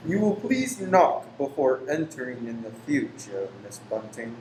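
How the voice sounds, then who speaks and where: A man playing a brooding lodger, swinging pitch. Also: sing-song, darkish tone. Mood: curt, formal. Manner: formal, stiff, deliberate. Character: brooding, pious, sinister male lodger, formal and courteous. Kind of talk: radio play acting. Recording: roomy echo, faint noise.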